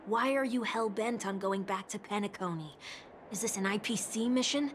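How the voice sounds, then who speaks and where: A woman's voice: medium pitch, moderate pace, a little hiss or hum, natural voice. An actress playing a shrewd, blunt and skeptical woman in a video game, pointed and wry in her delivery. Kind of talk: scripted video game voice acting. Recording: music behind the voice.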